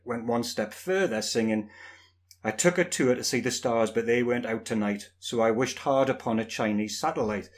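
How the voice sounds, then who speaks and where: A man from northern England with a northern English accent, hosting a podcast in a friendly, playful way. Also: medium pitch. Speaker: podcast host. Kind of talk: casual chat.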